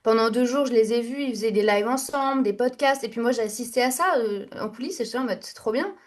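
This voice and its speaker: A young woman speaks on a podcast in a casual, candid way. Her voice sits a little high, and she circles back on her sentences with fillers. She sounds frank and unguarded. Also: quick pace. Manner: candid, chatty, many fillers and restarts. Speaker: podcast guest, entrepreneur. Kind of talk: casual interview storytelling.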